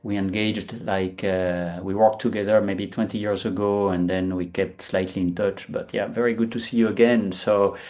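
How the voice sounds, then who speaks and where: French man in his fifties, low voice. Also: fairly even intonation, moderate pace, few pauses, a little mellow. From France. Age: 50s. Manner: hesitant, frequent fillers and restarts. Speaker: guest. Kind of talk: conversational interview.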